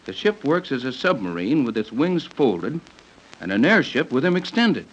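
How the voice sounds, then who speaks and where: An inquisitive, level-headed captain talks with lively swings of pitch in vintage 1930s radio style. He is thoughtful and courteous, heard through a dull old recording. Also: moderate pace. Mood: curious. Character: curious, level-headed adventurer captain, adult male. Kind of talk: 1930s radio serial acting.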